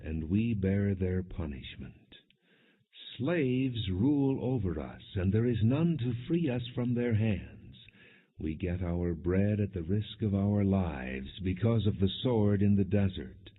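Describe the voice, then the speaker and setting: Deep, slow male scripture reader, phone-like sound. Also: very animated, breathy edge. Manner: measured, deliberate phrasing. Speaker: audiobook Bible reader. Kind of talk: solemn scripted scripture reading. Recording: muffled, phone-like narrow-band audio.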